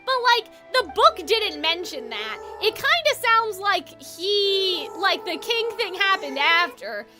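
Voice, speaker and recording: A woman's voice: very high voice, very animated, slow, few pauses. A woman game streamer chatting casually, musing aloud in a rambling way over game music. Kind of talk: casual livestream chat. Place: livestream over a video game.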